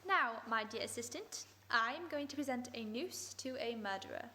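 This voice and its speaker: A woman playing a crisp, bright-voiced lady detective. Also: a little high, expressive, moderate pace, a little hiss or hum. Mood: cool. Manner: cool, crisp and in command. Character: sharp, composed woman detective. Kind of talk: old-time radio acting.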